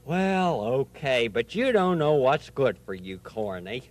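A man's voice: mid-range voice, big pitch swings, slow. A man playing a fussy, easily bothered male owl puppet in a children's show, with a folksy, insistent manner. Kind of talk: children's television puppet play.

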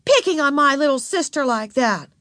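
Woman as a bossy, high-voiced big sister. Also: very animated, moderate pace, a bit bright, no room sound, clean studio sound, heavily acted. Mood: scolding, angry. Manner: scolding, correcting, giving orders.

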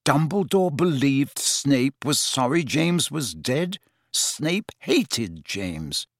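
A man's voice: mid-range voice, lively intonation, normal speed, no room sound, clean studio sound, close to an everyday voice. A man voicing a grave grown wizard in an audiobook, speaking in a firm, somewhat harsh manner. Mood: incredulous.

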